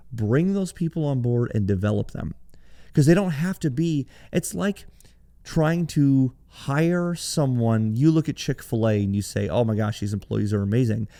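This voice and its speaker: A man hosting a podcast alone, talking in a conversational, explanatory way, his voice hoarse and raspy from cheering. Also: medium pitch, dark tone. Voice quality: gravelly, raspy. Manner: conversational, explanatory, with some restarts. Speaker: podcast host. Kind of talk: solo business podcast talk.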